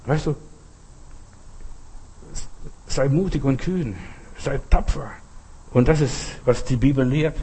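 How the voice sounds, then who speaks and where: Husky-voiced male preacher, exhorting. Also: mid-range voice, a little slow, dark tone, poor audio. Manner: exhorting, repetitive, folksy, often addressing the listener directly. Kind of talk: sermon, spoken freely with short imperatives and anecdotes.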